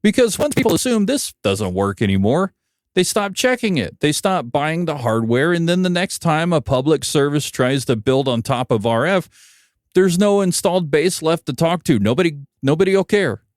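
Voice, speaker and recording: A man's voice: fairly high pitch, animated, normal speed, no room sound, clean studio sound. An American man hosting a podcast, delivering a conversational opinion monologue in a wry, emphatic way. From United States. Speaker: podcast host, broadcast engineer.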